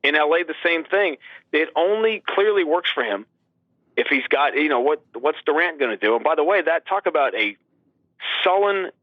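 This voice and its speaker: A man's voice: a little high, expressive, normal speed, no room sound. Emphatic man talking sports, muffled lo-fi sound. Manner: emphatic, fond of rhetorical questions. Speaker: guest sports radio play-by-play announcer. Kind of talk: animated sports talk. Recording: dull, lo-fi radio-like line.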